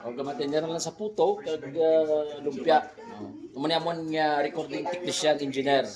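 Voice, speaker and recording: A man with a Western Visayan Filipino accent hosts a casual vlog in a jokey, conversational way. His voice sits a little high and he often tags questions onto his phrases. The room is very echoey, with people chattering behind him. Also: moderate pace, a crowd in the background. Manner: jokey, frequent tag questions. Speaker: vlog host, radio drama director. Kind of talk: casual vlog chat introducing colleagues. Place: indoor radio recording room.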